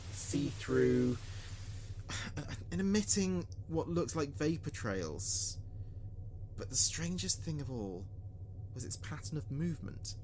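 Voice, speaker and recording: A man playing an earnest, uneasy spaceship communications officer, recording a halting, deliberate mission log in a sci-fi audio drama. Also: a little high, a little mellow. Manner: halting, deliberate, pausing mid-sentence. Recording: clear background noise and ambience.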